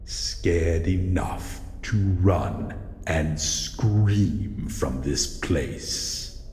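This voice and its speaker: A man voicing a grumpy, wary shadow monster in an audio roleplay, speaking in a halting, brooding way as it guards its old house. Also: deep voice, a little mellow. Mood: defensive, brooding. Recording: echoey hall reverb, faint background noise.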